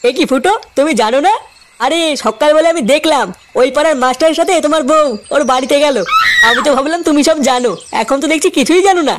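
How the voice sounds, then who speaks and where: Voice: sits high, fast, a little hiss or hum, close to an everyday voice. A performer voicing a cheeky, gossipy cartoon villager with a stammering, chattery delivery and a Bengali accent. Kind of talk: Bengali comedy cartoon dubbing.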